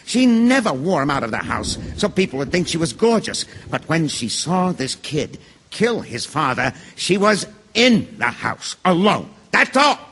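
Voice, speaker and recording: Angry stubborn juror in a radio play, high husky voice, swings all over the place. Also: male voice, very animated, measured pace, a bit bright, heavily acted. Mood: irritated, insistent. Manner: combative, emphatic, badgering. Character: angry, stubborn male juror, bitter father. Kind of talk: stage-style radio drama acting.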